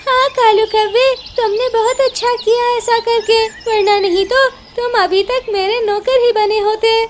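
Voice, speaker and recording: A woman doing a very high, bossy cartoon bird. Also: fairly even intonation, unhurried, a little hiss or hum. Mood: pleased. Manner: commanding, exclamatory. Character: bossy, imperious female bird who thinks she rules. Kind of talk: Hindi cartoon voice acting.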